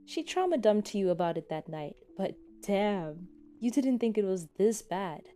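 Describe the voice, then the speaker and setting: A woman reading scripted fan fiction as a dramatic narrator, with expressive, deliberate phrasing. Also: medium pitch, soft background music.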